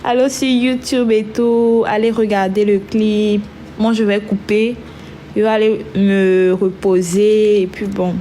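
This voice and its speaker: A woman's voice: mid-range voice, flat delivery, slow pace, warm tone, slight background noise. A woman hosting a casual live stream who has a cold and talks in a chatty way, trailing off and restarting. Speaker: livestream host.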